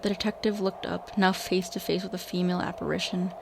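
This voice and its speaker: A woman's voice: fairly low pitch, flat delivery, a little fast, creaky, noisy background. A woman narrating a scripted radio drama in the manner of a storyteller.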